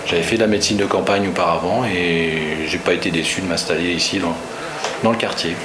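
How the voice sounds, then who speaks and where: A middle-aged man from northern France, a doctor speaking in a composed, deliberate way. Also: low-pitched, steady tone, background noise. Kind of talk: documentary interview. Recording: echoey room.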